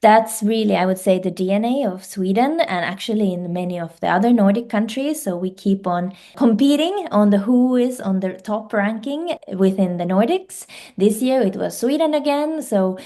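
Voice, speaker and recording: Mellow-voiced woman, hesitant. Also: medium pitch, animated, moderate pace. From Finland. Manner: fluent second-language speaker, hesitant fillers and restarts. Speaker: guest, life science director. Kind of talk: podcast interview.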